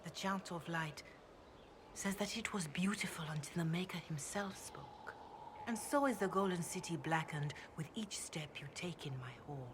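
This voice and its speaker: An actress voicing a devout, grave priestess in a video game, speaking in a measured, reverent way. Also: female voice, lowish voice, background noise, close to an everyday voice. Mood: grave reciting. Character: devout, grave chantry priestess, calm and counselling. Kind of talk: video game dialogue, scripture recitation.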